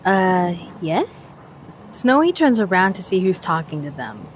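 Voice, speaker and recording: A woman's voice: lowish voice, very animated, a little slow, a little hiss or hum, rough recording. A woman playing a tabletop roleplaying game, casually improvising narration and lines for a character.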